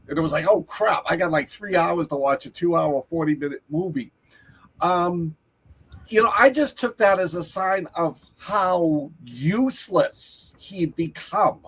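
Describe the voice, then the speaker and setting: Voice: medium pitch, breathy edge, low-quality recording. A podcast co-host and teacher with a Boston accent, rambling casually about movies and correcting themselves mid-sentence. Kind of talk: casual movie review chat.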